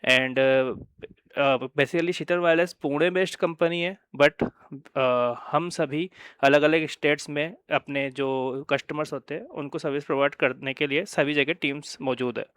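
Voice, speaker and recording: An Indian man with a fairly high, smooth voice explains products in an informal presentation. He speaks hesitantly, drops in English phrases and punctuates points with little check-in words. The sound is close and dry. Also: steady tone, a little slow, fairly bright tone, no echo. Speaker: company presenter of wireless communication products.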